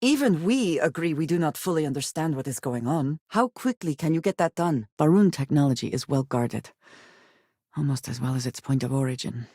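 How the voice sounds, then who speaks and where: A woman playing a guarded, earnest space explorer in a video game, speaking in measured, formal and precise phrases. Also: deep voice, animated, dark tone, slightly rough, no echo, studio quality. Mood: earnest, thoughtful. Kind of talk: video game character dialogue lines.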